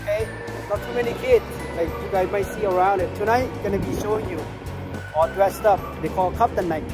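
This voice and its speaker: A man's voice: sits high, fairly quick, over music. A man in his fifties with a Thai accent, chatting casually in broken English as he films himself with a phone held at arm's length on an outdoor cruise ship deck. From Thailand. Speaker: vlogger. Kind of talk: casual travel vlog.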